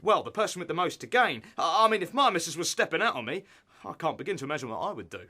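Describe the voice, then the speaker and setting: Male actor as needling tabloid reporter, higher-pitched, lively, hectoring, slangy, radio drama. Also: fast, heavily acted. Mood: pushy, insinuating. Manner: hectoring, slangy, mock-reasonable. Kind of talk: radio play acting.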